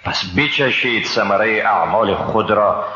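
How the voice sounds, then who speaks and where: A man with an Afghan Dari accent, solemnly reading a translation of scripture. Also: fairly low pitch. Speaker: translation reader. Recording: echoey, long reverb, dull and noisy.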